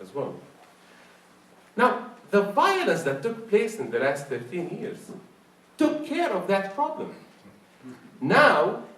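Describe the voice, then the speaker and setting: A man's voice: mid-range voice, a bit bright. Iraqi-accented man speaking in a roomy space. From Iraq. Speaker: speaker at a public forum. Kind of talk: public talk, explanatory and partly off the cuff. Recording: roomy, distant mic with faint noise.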